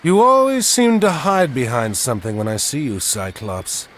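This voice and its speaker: A man's voice: mid-range voice, lively intonation, moderate pace, fairly bright tone, close to an everyday voice. An actor playing an earnest, commanding heroic admiral, a man, in a video game cutscene. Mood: defiant.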